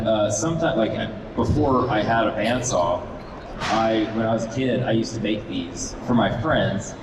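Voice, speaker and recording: A man giving a casual live demonstration and answering questions in a hesitant, rambling, laid-back way. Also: lowish voice. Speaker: guest wood carver and video maker. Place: large indoor event hall. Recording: echoey noisy event room, distant microphone.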